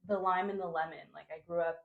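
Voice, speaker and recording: A young woman with a fairly low voice talks easily as a podcast guest. She is from Honduras and grew up in New Jersey, and her speech runs loose and chatty, full of "like" and "you know". The sound has the ring of an echoey room. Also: fairly even intonation, quick pace, vocal fry, phone-quality sound. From Honduras, raised in New Jersey. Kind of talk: casual conversational interview.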